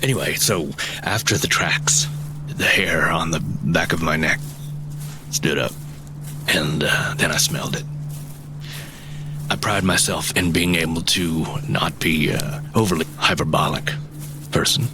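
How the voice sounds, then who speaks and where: Earnest cryptid buff, low voice, measured halting storytelling, fantasy audio drama, music underneath. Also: male voice. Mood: uneasy awe. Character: earnest cryptid-enthusiast man recounting a frightening sighting.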